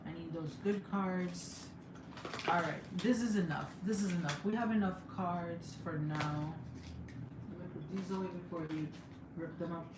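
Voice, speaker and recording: A young woman with a Jamaican accent chatting casually on a home livestream, laughing often and sometimes breaking into excited high exclamations. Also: moderate pace. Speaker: livestreamer and mother. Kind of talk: casual live chat. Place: home room. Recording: echoey room with background noise.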